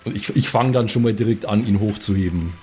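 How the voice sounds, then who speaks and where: A man playing a flustered, protective gentleman guardian, formal and stammering, in an improvised fantasy audio play. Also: lowish voice, quick pace, soft background music, lightly acted. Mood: flustered, placating.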